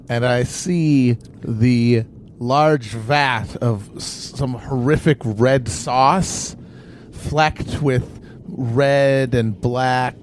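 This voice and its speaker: A man playing a tabletop game, improvising horror narration in an ornate, halting, melodramatic manner. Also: medium pitch, a little hiss or hum.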